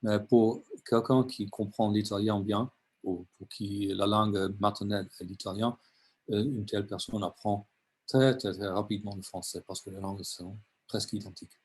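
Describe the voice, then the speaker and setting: A man who is not a native French speaker, answering prospective students' questions earnestly in an online session, a little halting. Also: fairly low pitch, flat delivery, a little mellow, husky. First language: neither French nor Italian. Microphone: video call.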